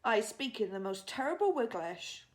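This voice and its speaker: A woman voicing a big, gentle old male giant from a storybook who jumbles his words eagerly. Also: a little high, sing-song, normal speed. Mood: earnest.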